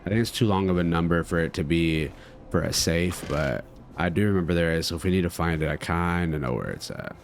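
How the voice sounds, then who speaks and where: A man's voice: sits low, a little fast. A man doing casual let's play commentary, thinking aloud and reading game text as he goes. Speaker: gamer playing and commenting.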